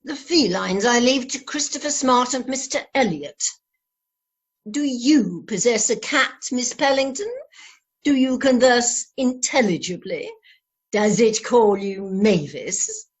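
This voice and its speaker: Woman playing a husky, aloof lady poet. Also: on the high side, animated, slow, heavily acted. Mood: disdainful. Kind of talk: amateur radio play acting.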